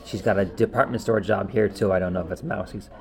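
A man's voice: fairly low pitch, fairly even intonation, fast, creaky, darkish tone. A man co-hosting a podcast in casual chat, enthusiastic and repeating words for emphasis. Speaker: podcast co-host. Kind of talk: casual chat ranking favorite cartoon specials.

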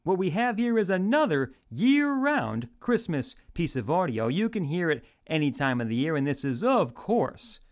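A man's voice: on the high side, sing-song, moderate pace, hardly any pauses. A man hosting an old-time radio game show, announcing like a showman and addressing listeners directly.

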